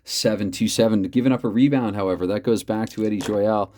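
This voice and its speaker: A man with a low, naturally loud voice calls a tabletop hockey game from home. He slips between mock arena play-by-play and casual muttering over the dice math, keeping his volume in check. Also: fairly even intonation, a little fast, slight background noise. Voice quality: loud voice, holding back. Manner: chatty, thinks aloud over the dice math. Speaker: host narrating a tabletop hockey replay.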